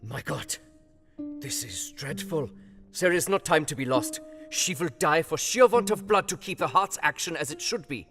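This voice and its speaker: Audio drama casting: older doctor, kindly yet urgent, solemn broken English, highly animated delivery in a reverberant hall. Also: male voice, normal speed. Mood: urgent, grave. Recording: long hall reverb over music.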